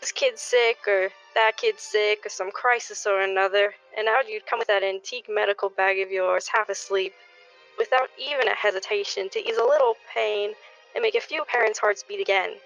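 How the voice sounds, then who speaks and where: Woman acting sentimental middle aged neighbor doing a folksy speech, radio play, kinda lofi with soft music under. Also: mid-range voice, moderate pace, close to an everyday voice. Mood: affectionate, sentimental. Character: sentimental middle-aged neighbor giving an affectionate party toast. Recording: dull, lo-fi recording.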